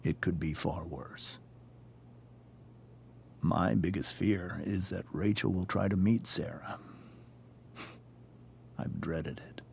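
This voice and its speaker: A man playing a stern, protective middle-aged father in a video game drama, speaking gravely and deliberately. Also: deep voice, darkish tone, a little hiss or hum, heavily acted. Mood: worried, grave. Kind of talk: dramatic game cutscene acting.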